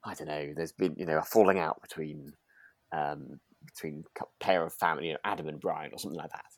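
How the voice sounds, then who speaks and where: A man's voice: extremely low pitch, steady tone, fast talker, no room sound. A British man co-hosting a podcast, chatting casually about a drama in a rambling, hesitant way. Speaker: podcast co-host.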